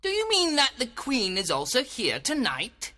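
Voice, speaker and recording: A woman voicing a mischievous, curious and excitable male fairy servant with an urgent manner in an audiobook. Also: lowish voice, moderate pace, big-room sound, faint music behind. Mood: surprised, curious. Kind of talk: audiobook character dialogue.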